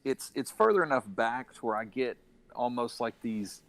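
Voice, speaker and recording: A man's voice: medium pitch, lively intonation, normal speed, fairly bright tone. A man co-hosting a casual gaming discussion, sharing opinions as he thinks them through aloud. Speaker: gaming podcast co-host.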